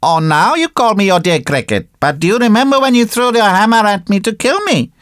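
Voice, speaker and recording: A woman's voice: mid-range voice, animated, a little fast, no echo, studio quality, voice pushed up, close to an everyday voice. A woman voicing a little talking cricket in a storybook, a stern but forgiving moralist with a small voice. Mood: reproachful.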